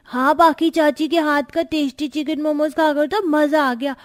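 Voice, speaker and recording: A woman's voice: high-pitched, steady tone, moderate pace, close to an everyday voice. A woman voicing an eager, greedy young child in a family cartoon. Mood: delighted.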